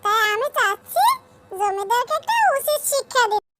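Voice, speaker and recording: A woman's voice: extremely high pitch, animated, moderate pace, bright, forceful tone, faint music behind, exaggerated voice. A woman voicing a small, determined female cartoon bird who speaks in earnest appeals. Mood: determined pleading. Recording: clearly noisy background.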